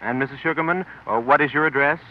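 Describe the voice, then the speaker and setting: Higher-pitched American man, 1940s radio street reporter. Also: fast. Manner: formal, clearly announced, repeats answers. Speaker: radio reporter interviewing passersby. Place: outdoors on a busy city street. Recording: dull, noisy vintage outdoor radio broadcast.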